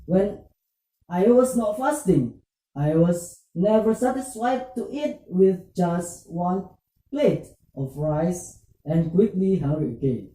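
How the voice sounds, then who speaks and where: A puppet show presenter, speaking scripted religious dialogue in halting, deliberate English. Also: medium pitch, expressive, dark tone. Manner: halting, deliberate phrasing in non-native English. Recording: roomy echo, distant muffled microphone.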